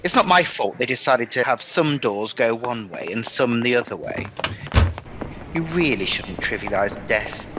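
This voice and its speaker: Stern, formal worker played by a man, medium pitch, expressive intonation, dry humour, audio drama. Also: moderate pace, background noise, natural voice. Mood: disapproving.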